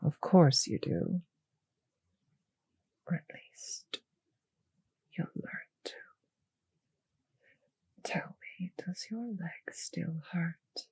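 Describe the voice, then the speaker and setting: Woman doing a possessive vampire mistress for roleplay audio, breathy and a bit crackly, unhurried, talks down to you, close dry sound. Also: lowish voice, animated, dark tone, dry recording, whispered, heavily acted. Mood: hungry, intimate. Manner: slow savouring, patronizing, breathy murmurs. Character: possessive, condescending vampire mistress, coolly cruel. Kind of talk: roleplay audio drama, intimate speech to listener.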